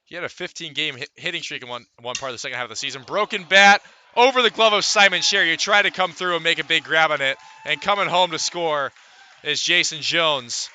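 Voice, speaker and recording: A man's voice: on the high side, fairly quick, sharp tone, a little hiss or hum. A man calling a baseball game as a play-by-play announcer in a live radio commentary style.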